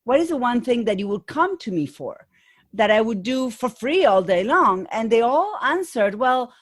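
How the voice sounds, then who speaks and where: A woman's voice: on the high side, very animated, normal speed. Argentine-accented woman coach, thoughtful. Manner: thoughtful, restarts often, light laughs. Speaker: guest, business coach. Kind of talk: conversational interview.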